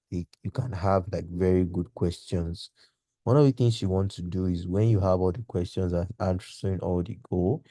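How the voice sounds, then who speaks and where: Male instructor, low-pitched, very soft dark tone, didactic, explanatory, dry close sound. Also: no echo. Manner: didactic, poses example questions. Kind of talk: informal video lecture, explanatory.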